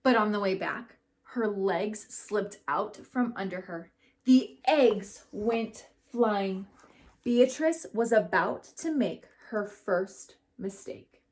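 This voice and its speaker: A woman educator giving an expressive read-aloud storytelling lesson for children, acting out the characters' lines. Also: mid-range voice, slow. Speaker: school educator reading to children.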